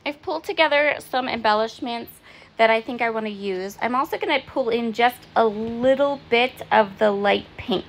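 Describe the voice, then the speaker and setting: A woman giving a casual, chatty voice-over of her craft project at home, her voice raspy and hoarse and she often pauses with "um". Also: mid-range voice, slight background noise. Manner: chatty and apologetic, with frequent "um"s. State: hoarse, voice partly fading. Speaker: craft vlogger. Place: at home, in bed.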